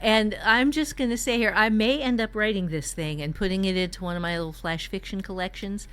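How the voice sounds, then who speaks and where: A woman's voice: medium pitch, a little fast, fairly bright tone, clean tone. A woman hosting a writing workshop, chatting casually as she shares story ideas.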